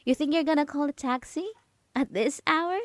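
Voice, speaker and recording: A woman's voice: high voice, moderate pace, no echo, close to an everyday voice. A woman playing a possessive, mocking yandere roommate in an ASMR roleplay, teasing and condescending as she lingers on her words. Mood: smug. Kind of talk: dramatic ASMR roleplay monologue.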